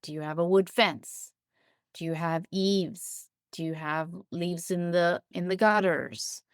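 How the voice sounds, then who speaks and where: A woman with a fairly low voice talks as an interview guest, explaining things thoughtfully through concrete examples. The sound is dry, with little room around it. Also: fairly even intonation, slow, clean tone, no room sound. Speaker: interview guest, biologist and writer.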